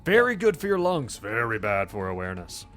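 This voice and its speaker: Low-voiced man game-mastering over music. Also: huge pitch swings, a bit bright. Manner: informal, halting, teasing. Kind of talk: improvised tabletop game narration and banter.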